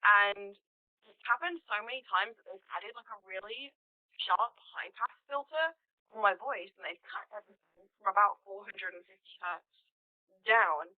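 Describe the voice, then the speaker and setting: A woman with a deep contralto voice, speaking as a metal vocalist in an interview, animated and emphatic. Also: normal speed, dry recording. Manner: animated, emphatic, laughs between points. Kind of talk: conversational interview. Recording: tinny phone-line audio, dry.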